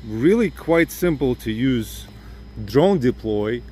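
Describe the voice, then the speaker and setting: A man's voice: mid-range voice, lively intonation, takes it slowly, darkish tone, slight background noise. A man with a Ukrainian accent, losing his voice, chatting casually in a vlog from outdoors in a parking lot, thinking aloud with lots of fillers. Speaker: drone hobbyist vlogger.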